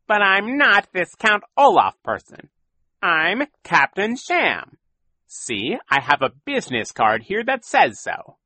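A man's voice: high voice, huge pitch swings, slow, bright, forceful tone, no room sound, pitched above the performer's own voice, full character voice. A man voicing a boastful, cruel villainous con man in an audiobook, with a growling, mocking, exaggerated delivery. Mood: feigned innocence. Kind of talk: audiobook character voice.

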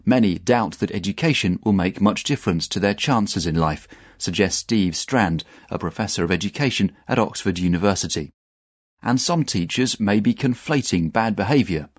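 A man reading a news article aloud as narrator, in a measured, even way. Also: on the low side, husky, no room sound, studio quality. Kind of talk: scripted narration.

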